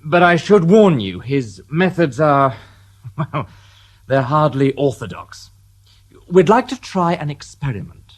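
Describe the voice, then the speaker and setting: A man's voice: on the high side, big pitch swings, slow pace, heavily acted. A dry-witted detective inspector in a radio play. He speaks with understated wryness, his intonation rising and falling a good deal. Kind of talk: radio drama acting.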